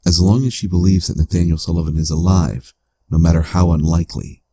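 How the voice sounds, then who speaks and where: A man voicing a coldly analytical artificial intelligence in a cyberpunk audio drama, speaking in a formal, measured, clinical way. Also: sits low, level tone, mellow. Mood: cool, cautioning.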